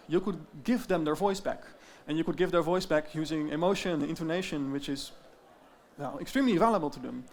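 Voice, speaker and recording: Man with a Dutch accent presenting. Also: high voice. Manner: explanatory, with frequent "uh" fillers. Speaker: tech founder giving a talk. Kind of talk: presentation talk.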